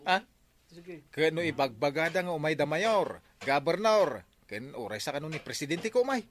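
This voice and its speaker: A man playing an eager, teasing buddy who exclaims excitedly in a comedy audio drama. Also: fairly high pitch, normal speed. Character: teasing, eager male buddy awed by lavish feast.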